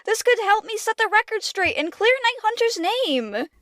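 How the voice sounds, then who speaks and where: Casting: woman to play curious, excitable filly princess for cartoon audio drama; very high register, lively swoops. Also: normal speed, no echo, studio quality, full character voice. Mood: excited. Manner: excitable, inquisitive. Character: eager, curious young pony princess girl.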